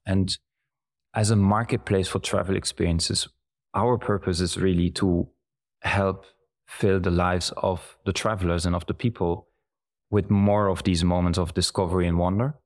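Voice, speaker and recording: A man, speaking in a measured, thoughtful way as an interview guest. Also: sits low, fairly even intonation. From German-speaking Belgium. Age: 40s. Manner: measured, thoughtful, listing examples at length. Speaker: guest, brand executive. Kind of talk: reflective conversational interview.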